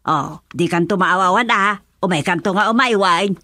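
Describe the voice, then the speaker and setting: A woman's voice: medium pitch, normal speed, bright, forceful tone, no echo, close to an everyday voice. A woman with an Ilocano accent playing a jolly, teasing older mother in a radio drama, chatty, fussy and full of exclamations. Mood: cheerful, teasing. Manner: exclamatory, chuckly.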